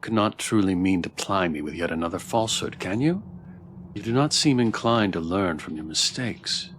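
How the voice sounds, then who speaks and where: A man reading as a mild, inquisitive spirit in a fantasy visual novel. The voice is fairly low, the wording stately and old-fashioned, with faint noise behind it. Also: lively intonation, normal speed, fairly bright tone. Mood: reproachful. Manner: archaic, formal, wondering. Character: gentle, questioning spirit protagonist with formal speech. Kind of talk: amateur read-aloud game acting.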